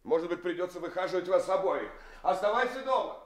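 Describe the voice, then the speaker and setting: Caring young man, high voice, reassuring tone. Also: lively intonation, quick pace, slight background noise. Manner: warm, reassuring. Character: kind young man, caring older friend.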